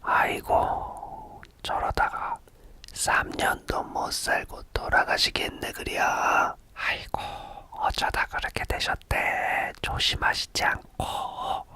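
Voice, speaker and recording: A man's voice: takes it slowly, pushed and bright. A man whispering the lines of worried, gossiping villagers in a folk tale. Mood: pitying.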